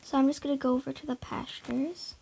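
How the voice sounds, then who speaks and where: A woman's voice: high-pitched, level tone, fairly quick, vocal fry, darkish tone, a little hiss or hum. A young woman casually talking through her horse barn in a game, hesitant, full of fillers and often dropping into a whisper.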